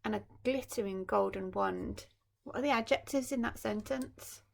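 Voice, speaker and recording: A woman's voice: fairly high pitch, moderate pace, vocal fry. A woman primary school teacher reading a story expressively, with explanatory asides for young children.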